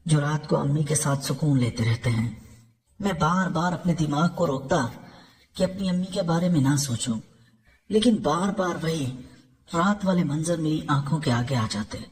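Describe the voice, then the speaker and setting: A man narrates a scripted story in the first person. His voice sits high for a male speaker and rises and falls in a lively way. A long reverb hangs over every phrase, as in a large hall.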